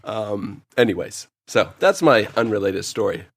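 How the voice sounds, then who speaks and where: A man's voice: fairly low pitch, animated, measured pace, gravelly, very noisy. A man co-hosting a podcast, chatting casually and jokily while giving his opinions in a review. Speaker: podcast co-host. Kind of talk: casual review chat with anecdotes.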